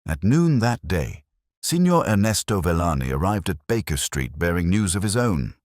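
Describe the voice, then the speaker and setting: A man's voice: deep voice, very animated, moderate pace, a little mellow, dry recording, clean studio sound. A man reading a scripted first-person mystery story in a solemn, literary and dramatic manner. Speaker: audiobook narrator.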